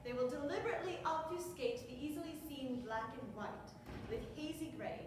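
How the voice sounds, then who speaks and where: A woman playing a sharp-witted, stern prosecutor giving a formal, oratorical courtroom speech on stage. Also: sits high, animated, fairly quick. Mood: stern, earnest. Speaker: stage actor. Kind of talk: theatrical courtroom opening statement. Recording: very echoey hall, distant mic, noisy.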